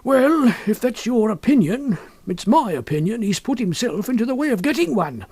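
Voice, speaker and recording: A man's voice: extremely high pitch, very animated, moderate pace, darkish tone, husky, no room sound, voice pushed up, heavily acted. A man voicing a tart, disapproving shopkeeper's wife in an audiobook, blunt and grumbling in colloquial speech. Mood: relieved, disapproving. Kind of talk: audiobook character acting.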